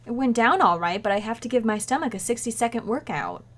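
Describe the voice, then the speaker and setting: A woman's voice: a little high, animated, fast talker. A woman playing a coy, wisecracking, scatterbrained young wife in a 1940s-style radio comedy. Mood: fussing, wry. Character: scatterbrained, sassy, playful 1940s sitcom wife.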